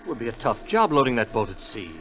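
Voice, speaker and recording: A man's voice: medium pitch, very animated, fast, close to an everyday voice. An actor playing an eager, driven young man obsessed with his quest, earnest and intense in a 1940s radio drama style. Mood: brisk. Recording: muffled 1940s radio broadcast.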